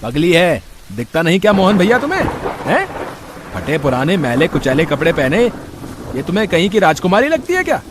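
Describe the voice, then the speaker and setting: A man's voice: on the high side, huge pitch swings, brisk, noisy background. A man voicing a scornful, suspicious tea-stall customer in a cartoon story, mocking and accusing as he talks. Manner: sneering, accusatory. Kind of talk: Hindi moral-story cartoon voice acting.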